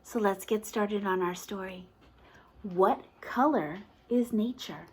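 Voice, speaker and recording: A woman's voice: medium pitch, takes it slowly, background noise. A woman teacher reading a picture book aloud to toddlers, asking encouraging questions in a sing-song, exaggerated way.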